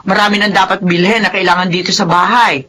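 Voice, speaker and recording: An actress playing an expressive, caring but exasperated middle-aged mother in a Filipino radio drama. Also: mid-range voice, fast, slight background noise, lightly acted. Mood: scolding.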